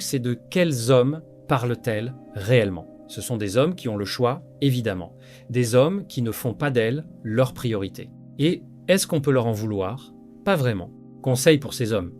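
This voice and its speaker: A man's voice: mid-range voice, a little mellow. A man narrating scripted commentary over clips in a blunt, lecturing way. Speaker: commentary channel host.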